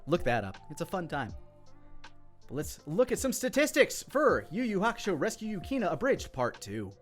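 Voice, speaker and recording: A man's voice: sits high, huge pitch swings, moderate pace, faint music behind. A man giving casual, enthusiastic creator commentary on his own parody series, swearing casually.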